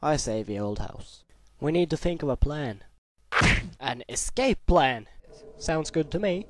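A man playing a young, scheming, excitable slacker in a web cartoon, with casual comic delivery. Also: fairly high pitch, unhurried, noisy background. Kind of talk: amateur web cartoon character acting.

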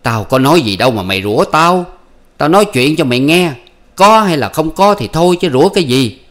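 A man's voice: medium pitch, animated, moderate pace, voice pushed up, lightly acted. A man voicing an honest, simple peasant farmer who speaks bluntly and plainly. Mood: defensive. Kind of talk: storybook reading.

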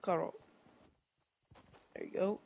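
A young man, a streamer recovering from a cold, chatting casually and haltingly while he plays, with lots of fillers and restarts. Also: a little mellow, dull audio, slight background noise. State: sniffly, getting over a cold. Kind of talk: casual gaming chat.

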